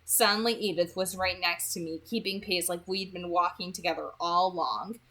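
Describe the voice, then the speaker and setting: A woman's voice: on the low side, steady tone, normal speed, sharp tone. A woman reading a novel aloud as a hobby audiobook narrator, telling the story expressively.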